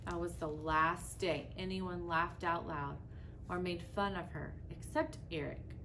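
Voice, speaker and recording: A woman's voice: lowish voice, fairly even intonation. Woman reading a storybook, noisy recording. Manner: dramatic, with deliberate pauses and emphasis. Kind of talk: expressive read-aloud of a children's story.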